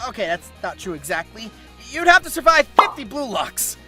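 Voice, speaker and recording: High, bright, theatrical male review host. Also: sing-song, normal speed, faint music behind. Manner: theatrical, exaggerated emphasis. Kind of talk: scripted comedic review narration.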